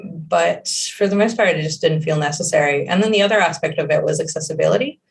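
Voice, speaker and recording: Gravelly woman, distant mic. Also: low-pitched, quick pace. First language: Hebrew. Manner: rambling, many fillers and restarts. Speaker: game creative director. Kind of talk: casual interview answers.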